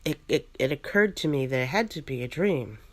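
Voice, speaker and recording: A woman chewing gum as she narrates a first-person ghost story in an ASMR style, pausing between short phrases. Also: rumbling low, lively intonation. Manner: chews gum while narrating.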